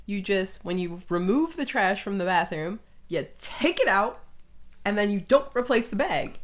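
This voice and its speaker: Woman, mid-pitched voice, casual podcast co-host, blunt, informal, conversational. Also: expressive, normal speed.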